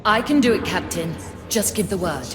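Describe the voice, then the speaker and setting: An actress playing the solemn, commanding spirit of a living ghost ship, speaking formally. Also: female voice, medium pitch, lively intonation, moderate pace, slight background noise, lightly acted. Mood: determined. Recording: echoing, long reverb under music.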